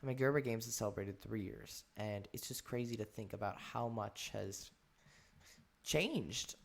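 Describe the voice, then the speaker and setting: A man hosting a casual podcast chat, excitable and full of fillers. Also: fairly low pitch, measured pace, creaky. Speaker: podcast host.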